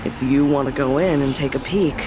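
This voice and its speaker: A woman's voice: extremely low pitch, a little fast. Dry weary doc lady, eye-rolling tone, music under her in the game. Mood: ominous. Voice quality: dry, sarcastic, world-weary. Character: weary sardonic hospital doctor woman. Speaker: game character. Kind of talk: game dialogue.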